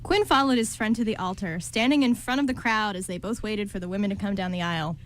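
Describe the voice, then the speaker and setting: A woman's voice: medium pitch, fast, fairly bright tone. A woman reading scripted story narration for an amateur radio play. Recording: clearly noisy amateur recording.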